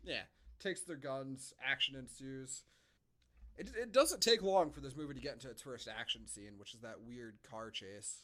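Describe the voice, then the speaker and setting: A man's voice: medium pitch, lively intonation, moderate pace, pushed and bright. A man co-hosting a podcast, casually reviewing a film with sarcastic asides and frequent swearing. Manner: sarcastic, profane. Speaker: podcast co-host.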